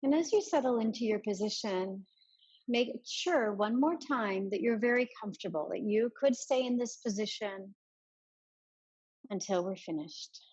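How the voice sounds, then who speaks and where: A woman leading a guided meditation as a yoga teacher, giving soft instructions with many pauses. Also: medium pitch, slightly rough, highs cut off.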